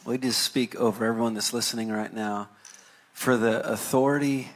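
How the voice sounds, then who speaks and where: A man's voice: medium pitch, steady tone, normal speed. A man speaking as a worship guest in an interview, searching for words with stammered restarts. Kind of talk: conversational ministry interview.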